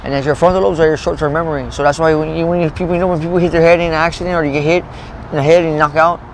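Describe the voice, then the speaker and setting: A man's voice: a little high, steady tone, racing, slight background noise. A man in his late thirties telling his story in a street interview, rambling, swearing a lot and restarting his sentences. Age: 30s. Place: outdoors in a parking lot.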